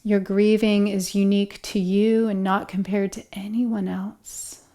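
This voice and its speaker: A woman leading a guided relaxation meditation as an instructor, speaking in a gentle, soothing, unhurried manner with long pauses. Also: mid-range voice, flat delivery.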